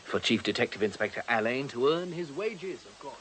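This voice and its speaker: An actor playing a courteous gentleman confidant in a radio drama, polite, reasoning and gently coaxing. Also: medium pitch, expressive, fairly quick, a little hiss or hum, natural voice. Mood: polite, breezy.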